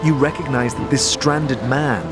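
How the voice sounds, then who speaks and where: A man's voice: mid-range voice, fairly even intonation, measured pace. A man narrating a fantasy audio drama as game master, with dramatic, descriptive storytelling. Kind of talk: scripted second-person fantasy narration. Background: music.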